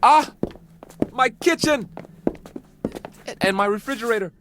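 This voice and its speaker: A man's voice: very high voice, measured pace, noisy background. A man playing an eager, amazed American astronaut in a radio drama, exclaiming and stammering with wonder. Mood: amazed delight. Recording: music under the voice.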